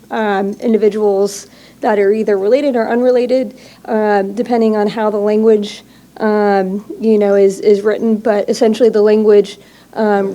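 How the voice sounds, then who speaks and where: A woman's voice: mid-range voice, dark tone. A woman presenting at a legal aid workshop, explaining steadily with frequent ums and you knows. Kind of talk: public workshop talk. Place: expo hall.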